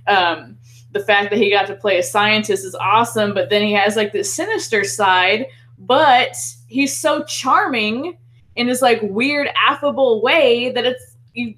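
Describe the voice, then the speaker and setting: A woman's voice: on the high side, a little slow, fairly bright tone. A woman co-hosting a casual film discussion, talking in an enthusiastic, chatty, informal way. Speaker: podcast co-host.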